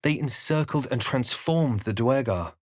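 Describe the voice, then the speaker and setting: An actor playing a brooding male fantasy adventurer, voicing video game lines in a hushed, deliberate, theatrical way. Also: mid-range voice, animated, no echo, studio quality, close to an everyday voice. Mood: ominous, grave. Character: brooding male fantasy adventurer narrating his own quest.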